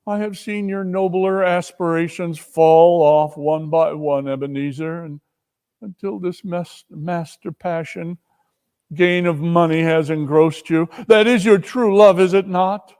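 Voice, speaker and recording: Storybook fiancée, male reader: sad but resolute, earnest, measured pauses, lightly raised pitch. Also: steady tone, a little mellow, clear, resonant tone. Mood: reproachful. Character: sorrowful, resolute young woman, jilted fiancée. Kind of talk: dramatic storybook reading.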